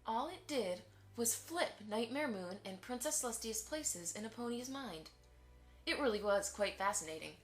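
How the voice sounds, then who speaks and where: A woman's voice: on the high side, expressive, normal speed, soft background music, close to an everyday voice. A woman playing a formal, courteous and pointedly inquisitive pony publisher in a fan audio drama. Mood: probing, insinuating. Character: polite, prying pony publisher, a probing female socialite.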